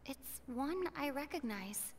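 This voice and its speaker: An actress playing an earnest young traveler in a video game, reasoning in a measured, thoughtful way. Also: female voice, high voice, animated, background noise. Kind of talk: scripted game dialogue.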